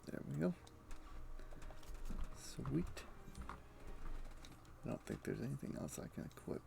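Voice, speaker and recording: A man casually commentating live gameplay for viewers, halting and sometimes muttering to himself as he explains. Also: medium pitch, expressive, gravelly, background noise. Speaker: game streamer.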